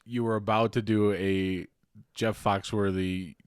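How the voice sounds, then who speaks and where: A young man with a fairly low voice, recorded dry and close. He co-hosts a casual podcast in a laid-back, teasing way and laughs easily. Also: level tone, a little slow, smooth, no echo. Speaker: podcast co-host. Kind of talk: casual chat.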